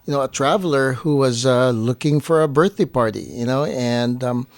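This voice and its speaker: A man's voice: mid-range voice, normal speed, no echo. Casual man chatting, dry close sound. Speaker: guest on partner's family show. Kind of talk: casual chat, anecdotal storytelling.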